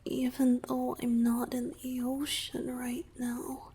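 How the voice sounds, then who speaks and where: Shy merman boyfriend played by a woman, romantic ASMR. Breathy, earnest, flustered, with creaky edges and noisy ambience behind. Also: high voice, monotone, slow, warm tone. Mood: shy, confessing. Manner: hesitant, breathy, often dropping to a whisper.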